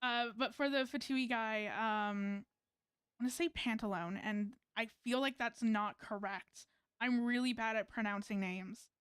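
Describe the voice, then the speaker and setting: A woman's voice: a little high, a little slow, fairly bright tone, clean tone, no echo. A young woman from Canada streaming a game, chatting casually with viewers in a rambling, hesitant way full of fillers and restarts. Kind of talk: casual gameplay chat with viewers.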